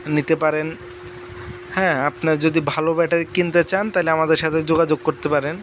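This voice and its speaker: A young man from Bangladesh selling batteries in a casual promotional talk, pausing often with fillers and restarts. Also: a little high, a bit bright, slight background noise. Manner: halting, with many fillers and restarts. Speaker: battery seller. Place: large indoor battery factory floor.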